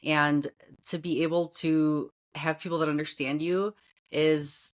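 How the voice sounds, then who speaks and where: A woman with a notably low voice hosting a podcast conversation. She chats casually and gushes, piling on enthusiastic praise for her guest. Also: flat delivery, measured pace. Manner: effusive and gushing. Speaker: podcast host. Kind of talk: casual interview chat.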